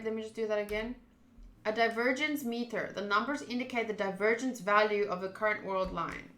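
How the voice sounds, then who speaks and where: A woman with a bright, higher-pitched voice streams a game in a roomy, echoey space. She reads story text aloud and slips into casual, expressive commentary, swearing freely as she reacts. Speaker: streamer playing a visual novel. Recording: roomy echo.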